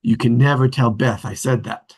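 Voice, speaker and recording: An actor playing an earnest, sentimental brother and family man with a husky voice in a drama table read. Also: medium pitch, steady tone, normal speed. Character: earnest, sentimental grown brother, husband and father.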